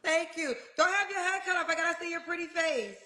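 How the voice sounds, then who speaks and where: A woman's voice: very high-pitched, brisk, pushed and bright. A woman hosting a dating show, chatting with a guest and calling her honey and child. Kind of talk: casual interview. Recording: echoey room.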